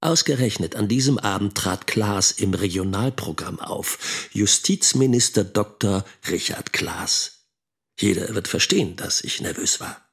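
An actor playing a critical middle-aged schoolteacher and father in a radio drama monologue, husky-voiced, didactic and emphatic. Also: lowish voice, measured pace, fairly bright tone, full character voice. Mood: tense, indignant.

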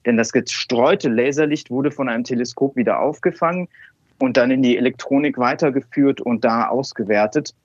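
A man's voice: mid-range voice, normal speed, husky, no echo, muffled recording. A man from Cologne, a scientist, telling stories in an interview with many fillers and restarts. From Cologne, Germany. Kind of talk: relaxed conversational interview.

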